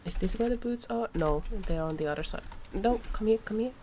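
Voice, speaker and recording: A woman's voice: mid-range voice, a little fast, warm tone, slight background noise. A woman doing casual live game commentary, musing aloud and often muttering to herself. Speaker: game streamer.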